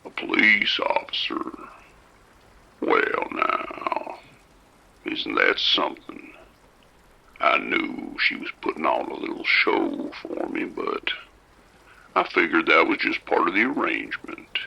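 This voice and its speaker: A man doing a creepy abductor with a voice sunk way down low and full of grit, husky and breathy at the edges. he drawls slowly but his tone swoops up and down in lively turns, soothing and menacing at once in this audio drama. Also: heavily acted. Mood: musing. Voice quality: gravelly whispery voice. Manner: slow, coaxing and unhurried. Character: creepy soft-spoken male abductor, calm and menacing.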